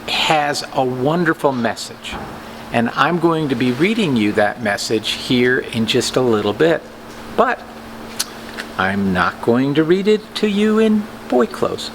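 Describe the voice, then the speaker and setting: An older man giving an informal vlog talk to camera as a store owner, with deliberate, halting phrasing. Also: medium pitch. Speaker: comic book store owner and presenter. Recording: clearly audible background noise, ambient hum.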